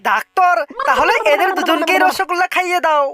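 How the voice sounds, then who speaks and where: A woman's voice: very high voice, brisk. A woman voicing an insistent, scheming cartoon grown-up who scolds and coaxes. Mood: coaxing, brisk. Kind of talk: Bengali cartoon dialogue. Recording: loud music under the voice.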